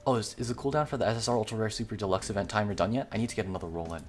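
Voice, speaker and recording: A man's voice: fairly low pitch, very fast, faint music behind, natural voice. A man voicing a stubborn, playful young fox girl obsessed with games, in casual teasing banter. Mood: eager.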